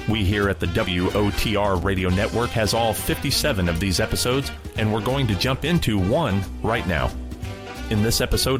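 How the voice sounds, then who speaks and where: A man hosting a radio program, giving scripted introductions to classic old-time shows. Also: on the low side. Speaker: radio show host.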